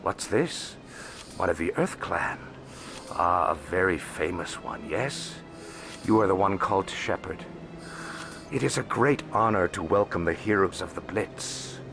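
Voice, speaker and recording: A man's voice: low voice, lively intonation, measured pace, creaky, heavily acted. A man playing a shrewd, courteous alien financier in a video game, speaking formally and discreetly over music. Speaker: financial advisor and information dealer character. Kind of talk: video game dialogue acting. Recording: music behind the voice.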